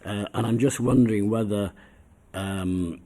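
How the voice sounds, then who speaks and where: A councillor with a heavy cold putting polite, deliberate questions to a committee. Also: male voice, on the low side, fairly even intonation, mellow.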